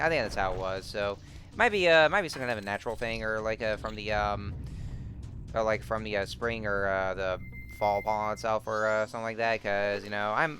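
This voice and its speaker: A man's voice: on the low side, bright, forceful tone. Rambling young man narrating his gameplay. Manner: rambling, many fillers and restarts. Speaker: let's play commentator. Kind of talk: casual gameplay commentary. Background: game music.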